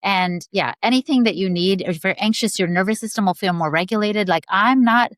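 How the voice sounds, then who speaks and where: A woman's voice: mid-range voice, fairly quick, dry recording, studio quality. A woman in her sixties giving eager wellness advice in a casual podcast conversation, often speaking straight to mothers. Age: 60s. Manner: enthusiastic, advice-giving, talks to listeners as "Mama". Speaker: guest, wellness educator.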